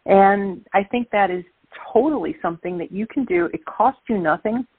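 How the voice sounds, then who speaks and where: A middle-aged woman with a Brooklyn accent speaks over a tinny phone line. Her voice is husky. She talks with energy and stacks up emphatic repetitions. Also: mid-range voice, moderate pace, no room sound. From Brooklyn, New York. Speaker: guest speaker. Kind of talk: phone-in interview, casual.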